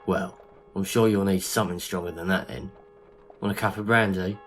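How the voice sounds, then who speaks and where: A man playing a loyal gentleman friend in a period audio drama, talking in a matey, colloquial way. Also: low-pitched, steady tone, normal speed, soft background music. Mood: companionable. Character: loyal, grieving gentleman friend, informal and companionable. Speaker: voice actor. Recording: noisy amateur audio drama recording.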